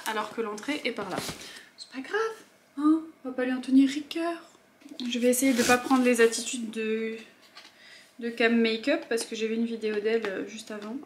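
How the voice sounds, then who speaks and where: A young woman chatting casually as she unboxes beauty products at home, with quick asides and murmured afterthoughts. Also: on the high side, a bit bright, a little hiss or hum. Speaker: beauty vlogger.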